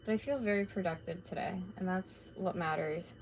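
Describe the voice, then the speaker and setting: A woman in her late twenties, slightly raspy from talking, chatting casually and rambling while she crafts at home on a live stream. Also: lowish voice, fairly quick, low-quality recording. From North America. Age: late 20s. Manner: rambling, chatty, laid-back with filler words.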